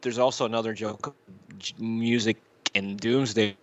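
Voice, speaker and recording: A man co-hosting a casual podcast, giving opinions in a rambling, halting way with repeated phrases and loose swearing. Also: fairly low pitch, fairly even intonation, fairly bright tone. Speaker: podcast co-host. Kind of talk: casual chat, reviewing YouTube videos.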